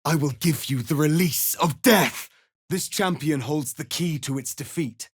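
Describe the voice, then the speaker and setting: A man playing a proud, solemn alien warrior prince in a fantasy video game, speaking in a formal, commanding, deliberate way. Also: on the high side, husky, no echo, studio quality. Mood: fierce, rousing. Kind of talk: dramatic fantasy game acting.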